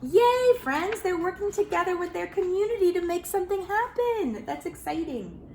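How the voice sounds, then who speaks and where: A woman reading a children's picture book aloud, expressive and animated, calling listeners friends. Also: very high-pitched, normal speed, slight background noise. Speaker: children's storyteller. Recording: roomy echo.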